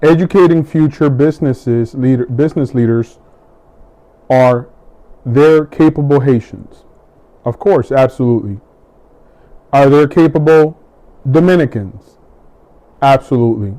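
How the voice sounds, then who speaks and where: A middle-aged man from the United States giving a measured, deliberate campaign speech, pausing between each item he lists. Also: mid-range voice, warm tone. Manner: measured, deliberate, with long pauses between list items. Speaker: political hopeful.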